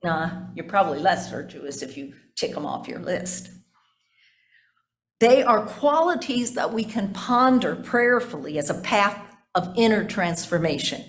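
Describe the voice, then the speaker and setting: An older woman delivers a sermon as a pastor. She speaks deliberately and with emphasis on key words. Her reflective preaching loosens into folksy, conversational asides and rhetorical questions. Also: medium pitch, very animated.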